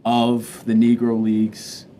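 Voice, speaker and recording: A man's voice: mid-range voice, monotone, slow pace, warm tone, a little hiss or hum. A man moderating a panel, asking questions with hesitant fillers.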